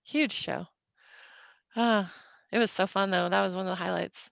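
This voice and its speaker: A woman's voice: mid-range voice, moderate pace, gravelly, a bit bright, no echo, dull audio. A middle-aged woman from Utah chatting casually on a podcast, laughing often. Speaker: podcast guest. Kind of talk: casual chat.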